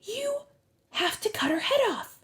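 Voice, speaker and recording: A woman's voice: very high-pitched, sing-song, unhurried, a bit bright, pitched above the performer's own voice, heavily acted. A woman voicing a brainy, bossy young girl heroine in an audiobook, urgent and insistent. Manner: insistent, matter-of-fact. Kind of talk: audiobook character voice.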